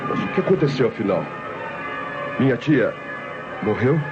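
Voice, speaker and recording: A Brazilian actor playing a perturbed, questioning nephew in a radio drama, with an anxious manner. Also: male voice, mid-range voice, sing-song, normal speed, natural voice. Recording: dull old radio recording, music behind.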